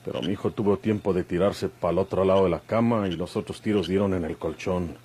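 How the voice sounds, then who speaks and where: A man's voice: low-pitched, fairly even intonation, fairly quick, darkish tone, breathy edge. An actor playing a rugged, brooding outlaw father with a rural Mexican accent and rustic speech, in an old radio drama. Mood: grim, bitter. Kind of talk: Mexican golden-age radionovela acting.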